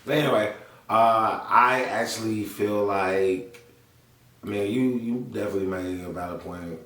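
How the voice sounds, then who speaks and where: A man's voice: on the low side, fairly even intonation, a little slow, fairly bright tone, echoey room, recorded from a distance. A man co-hosting a casual podcast, laid-back and teasing, often answering in short replies. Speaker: podcast co-host.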